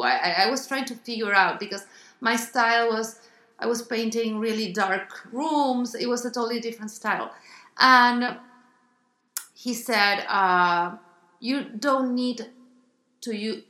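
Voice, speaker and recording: A woman's voice: mid-range voice, pushed and bright, echoey room. A middle-aged woman from Argentina with an Argentine accent, describing her art in a radio interview, thoughtful and hesitant with many ums and restarts. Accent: Argentine Spanish. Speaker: artist guest.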